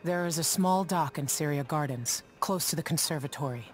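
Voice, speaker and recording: A woman's voice: low voice, brisk, slight background noise, lightly acted. An actress playing a guarded, secretive woman ship captain in a video game, talking in terse, matter-of-fact phrases. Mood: guarded, businesslike.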